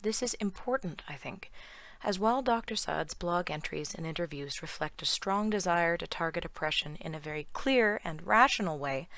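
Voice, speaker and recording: A woman speaks in a hoarse, worn-down voice, delivering animated, sardonic commentary from a script. The sound is dry and close, with no room around it. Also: lowish voice, normal speed, no echo. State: hoarse from lost voice. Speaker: YouTube commentator.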